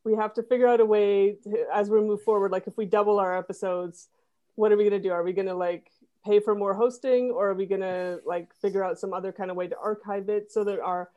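A woman's voice: mid-range voice, steady tone, a little fast, phone-quality sound. A woman guest on a podcast explaining how she runs her site, rambling with fillers. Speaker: podcast guest, web designer. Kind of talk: casual interview.

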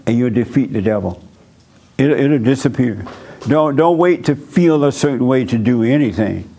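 A man's voice: mid-range voice, animated, moderate pace, dark tone. A man hosting a church counseling session, talking bluntly and preachily with a dialect, often laughing at his own points. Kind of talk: blunt counseling talk to a congregation.

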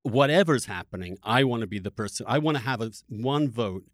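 A man's voice: medium pitch, animated, fairly quick, no echo, studio quality. A man from England hosting a conversational political discussion, speaking in a reflective, personal way and often repeating words as he works out a thought. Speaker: podcast host.

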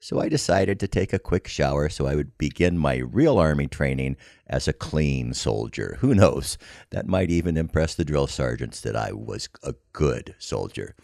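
Older American male storyteller, extremely low and gravelly, measured, wry scripted narration. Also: lively intonation, a little mellow, no room sound, clean studio sound. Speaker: podcast storyteller.

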